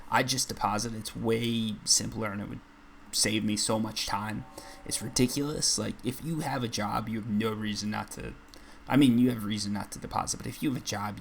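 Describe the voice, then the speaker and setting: A man hosting a gaming channel, chatting casually and rambling with self-deprecating asides as he plays, his voice a little raspy. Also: fairly low pitch, slight background noise. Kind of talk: casual gameplay commentary.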